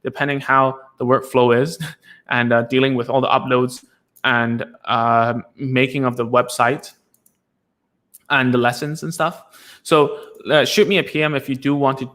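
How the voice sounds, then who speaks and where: Male host of a live Q&A stream, mid-pitched and loose, talks in rambling restarts. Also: little pitch movement, measured pace, fairly bright tone. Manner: rambling, many fillers and restarts. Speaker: speech therapist hosting a live stream.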